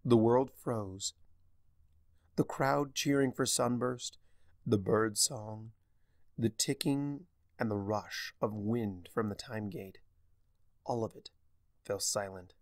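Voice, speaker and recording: A man reading dramatic scripted story narration in an expressive, deliberate manner. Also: lowish voice, no echo. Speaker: narrator of fan fiction.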